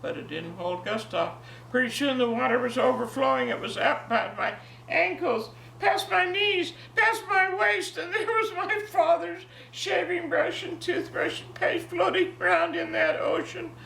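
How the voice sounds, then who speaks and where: Jovial, creaky-voiced woman of ninety. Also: very high-pitched, unhurried, hardly any pauses. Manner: jovial, expressive. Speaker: grandmother telling a family story. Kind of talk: casual reminiscing and animated story reading for family. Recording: echoey, distant home recording with faint noise.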